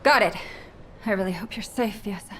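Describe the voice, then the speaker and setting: A woman's voice: medium pitch, a little fast, a little hiss or hum, natural voice. An actress playing an earnest, polite young female operative in a video game, eager and caring. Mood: worried.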